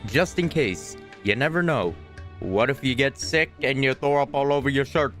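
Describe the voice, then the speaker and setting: A man's voice: mid-range voice, moderate pace, fairly bright tone. Man casually rambling over game music. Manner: rambling, thinking aloud. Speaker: game streamer. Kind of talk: casual gameplay chat. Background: video game music and dialogue.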